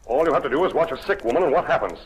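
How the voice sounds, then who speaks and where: An actor playing a stern, exasperated chief of detectives, brusque and sarcastic, in 1940s radio drama style. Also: male voice, on the high side, animated, rapid-fire, close to an everyday voice. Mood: angry. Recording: noisy old radio recording.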